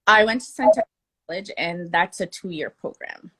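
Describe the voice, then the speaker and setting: A woman esthetician and mother of two, a guest in a conversational interview over a video call, telling her story in a relaxed way. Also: on the low side, normal speed, breathy edge.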